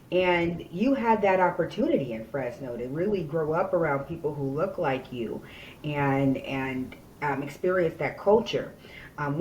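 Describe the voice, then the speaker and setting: A woman's voice: low-pitched, darkish tone, dull audio. A woman hosting a podcast interview, talking earnestly and conversationally as she shares personal stories and asks questions. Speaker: podcast host. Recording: distant mic, faint background noise.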